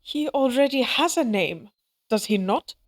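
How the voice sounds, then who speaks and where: A tender, anxious young mother played by a woman in a cinematic audio drama. Her voice is high and she takes her time with each phrase. She comes across as hesitant and wistful. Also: animated, a little slow. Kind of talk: cinematic audio roleplay acting.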